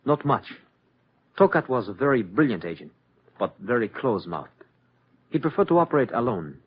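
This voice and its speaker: An actor playing a formal, careful Turkish intelligence assistant in an old radio spy drama, with stiff, measured wording. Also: male voice, medium pitch, big pitch swings, breathy edge. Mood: formal, guarded. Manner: formal, measured, avoids contractions. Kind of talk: 1950s radio drama acting. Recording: dull, noisy vintage radio recording.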